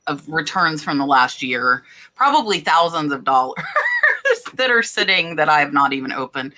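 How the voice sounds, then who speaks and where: A woman's voice: deep voice, pushed and bright. A woman from Florida with an American accent, hosting a casual live chat and answering viewer questions with blunt, practical advice. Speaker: host of a reseller live show.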